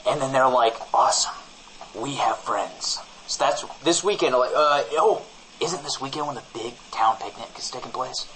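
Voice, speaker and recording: A man's voice: medium pitch, normal speed, a crowd in the background, natural voice, rough recording. A man playing a breezy, overzealous small-town radio co-host, chatting in a glib, mock-earnest way on a comic audio show.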